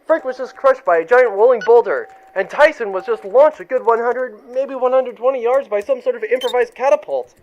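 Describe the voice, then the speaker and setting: A hapless, chattering soldier played by a man in a comic audio drama. His voice is strikingly high, and the sound is lo-fi with faint noise. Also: brisk, few pauses. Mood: earnest, dismayed.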